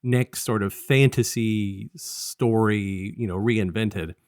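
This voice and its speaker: A man with a fairly low voice chats casually as a review co-host. He rambles and restarts his thoughts as he goes. The sound is close and dry, with very little room in it. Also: darkish tone, clean tone, no echo. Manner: halting and rambling, often restarting sentences. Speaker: podcast co-host.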